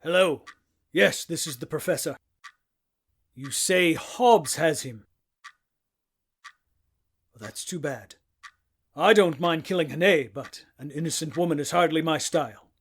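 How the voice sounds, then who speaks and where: Male, playing a sinister professor for radio play: lightish high voice, polite, cold-blooded, unhurried. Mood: calm, sinister. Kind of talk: audio drama acting.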